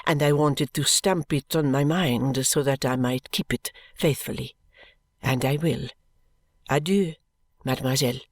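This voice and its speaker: A woman voicing an intense, proud French lady's maid with a French accent, speaking in a low, earnest, subdued way. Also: unhurried, no room sound, clean studio sound, heavily acted. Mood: cool, polite.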